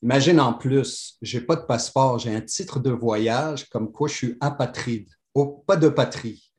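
A man's voice: medium pitch, measured pace. A middle-aged man with a mixed French, pied-noir and Moroccan accent with a Quebec tinge, telling his life story in a rambling way full of restarts. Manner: rambling, many restarts and fillers, warm and animated. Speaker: guest. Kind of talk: conversational storytelling. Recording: roomy echo.

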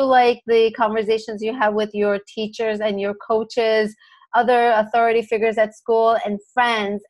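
Higher-pitched woman explaining in an interview. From Turkey. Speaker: podcast guest, psychologist.

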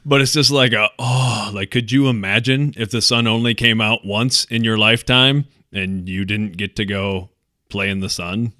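Deeper-voiced, bright podcast guy. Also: fairly even intonation, moderate pace, no echo, studio quality. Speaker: podcast host. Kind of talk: casual chat with a co-host.

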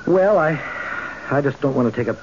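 An actor playing an inquisitive, puzzled neighbor in a radio drama, with a hesitant, questioning manner. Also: male voice, mid-range voice, lively intonation, normal speed, close to an everyday voice. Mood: wistful, puzzled. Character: puzzled, inquisitive male neighbor paying a call. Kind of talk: 1970s radio drama acting. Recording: dull old radio recording, faint hiss.

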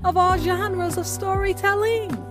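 Female radio host, high pitch, scripted intro, theatrical emphasis, music underneath. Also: steady tone, a little slow. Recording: voice over music bed.

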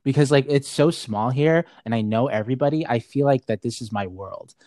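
A man's voice: mid-range voice, brisk, a little mellow, dry recording, studio quality. Rambling young man in casual chat. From Saudi Arabia. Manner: rambling, heavy on filler words, laughs often. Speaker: podcast guest.

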